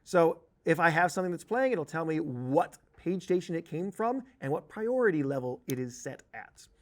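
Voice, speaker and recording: A man giving an informal, conversational software tutorial, explaining things step by step as an instructor. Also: on the high side. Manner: explanatory, informal, uses spoken examples. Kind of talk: conversational screen-share tutorial.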